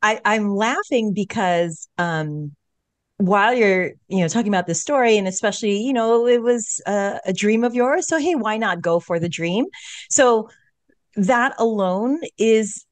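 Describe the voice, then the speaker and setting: A woman's voice: mid-range voice, measured pace, no echo. A woman co-hosting a podcast over a video call, speaking in a casual, encouraging and reflective way. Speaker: podcast co-host, orthopedic surgeon. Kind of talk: casual supportive chat. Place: home studio. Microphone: studio mic on a video call.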